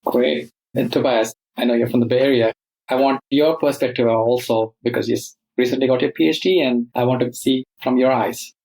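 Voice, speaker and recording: A man moderating a panel, turning to each guest with brisk, inviting questions. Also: mid-range voice, fairly even intonation, gravelly, a little mellow, poor audio.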